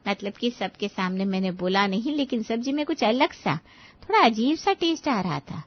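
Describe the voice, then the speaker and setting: A gentle, kindly auntie from an animated family story, acted by a woman. Her voice sits fairly high and she explains things patiently and reassuringly. The audio is slightly dull. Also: moderate pace. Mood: gently explaining. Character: kind older aunt-in-law, gently advising her nephews' wives. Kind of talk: animated moral-story dialogue.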